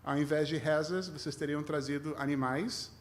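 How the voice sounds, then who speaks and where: Guest rabbi, male, Brazilian accent with a mixed tinge, lightly raised pitch, conversational sermon with self-deprecating humour. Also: steady tone, normal speed. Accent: Brazilian with a mixed foreign tinge. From Rio de Janeiro, Brazil.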